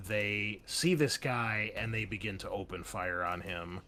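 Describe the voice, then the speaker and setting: A man's voice: medium pitch, a bit bright. Casual man narrating as game master. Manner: vivid, casual combat narration with fillers.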